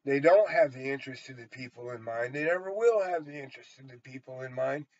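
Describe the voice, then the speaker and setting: A man's voice: mid-range voice, fairly quick. An American man in his sixties giving a blunt, emphatic, profanity-heavy rambling monologue to a camera in a small room. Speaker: storyteller and vlogger. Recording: dull, lo-fi webcam sound.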